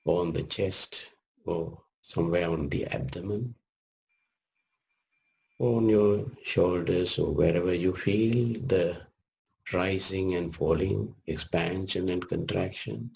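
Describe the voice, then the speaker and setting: A man leading a guided meditation as a teacher, speaking gently in short measured phrases with long pauses. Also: low-pitched, vocal fry, low-quality recording.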